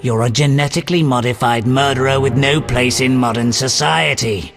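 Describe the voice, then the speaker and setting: An actor playing a smug, menacing villain in a video game, pompous and coldly threatening. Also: male voice, mid-range voice, normal speed, creaky, a bit bright, faint music behind. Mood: smug menace.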